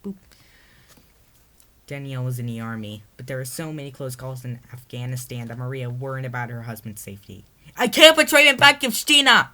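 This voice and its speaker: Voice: medium pitch, fairly even intonation, a little fast, darkish tone. A narrator reading their own story aloud in a storybook read-aloud style.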